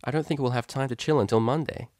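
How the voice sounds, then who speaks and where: A playful young mare DJ from a storybook, voiced by a man, easygoing and slangy. The pitch is lifted a little, and the sound is dry and close. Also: fast, a little mellow, no room sound, close to an everyday voice. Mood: casual. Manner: slangy, casual. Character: laid-back, playful young female unicorn DJ.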